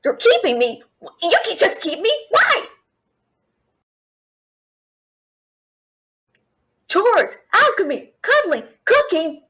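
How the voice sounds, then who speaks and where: Woman acting as young fantasy thief-alchemist, captive, high voice, unhurried, feisty, sassy, incredulous, audio roleplay. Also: full character voice. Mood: indignant, flustered. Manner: expressive, incredulous, sassy, questioning. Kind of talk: fantasy audio roleplay, one-sided dialogue to listener.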